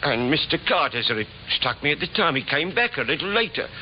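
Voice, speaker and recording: An actor playing a timid old stage doorkeeper in a radio drama, speaking hesitantly and deferentially with a slight stammer. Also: male voice, a little high, big pitch swings, brisk. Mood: deferential, earnest. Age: older. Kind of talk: 1950s radio drama acting. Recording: scratchy, dull 1950s radio recording.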